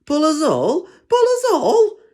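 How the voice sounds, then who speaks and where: Mischievous, troublesome railway trucks from a children's storybook, put on by a man. They taunt and chant in a high-pitched, teasing voice, full of naughtiness. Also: huge pitch swings, slow, a little mellow, full character voice.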